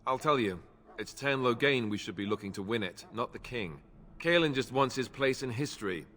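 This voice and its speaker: An earnest young warrior of a knightly order for a video game. His voice is on the low side and forward, with a bright edge. He explains things in a measured, self-deprecating way, and a long reverb hangs around him. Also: a little hiss or hum.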